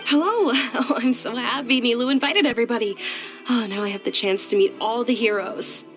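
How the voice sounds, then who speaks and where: A woman's voice: fairly high pitch, fairly quick, fairly bright tone, faint music behind, close to an everyday voice. A woman voicing a sweet, polite young lady in a game, speaking with delight. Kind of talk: game cutscene dub.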